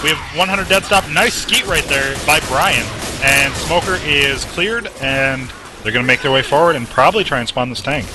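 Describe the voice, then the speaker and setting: A man calling a competitive video game match play by play, brisk and teasing toward his co-caster. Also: a little high, background noise. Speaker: esports commentator. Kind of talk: live play-by-play commentary.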